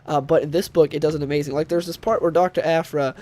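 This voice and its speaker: A man's voice: a little high, fairly even intonation, fast, dark tone. Stuffed-up nasal young man reviewing comics. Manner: rambling, with frequent fillers and restarts. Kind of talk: casual review monologue.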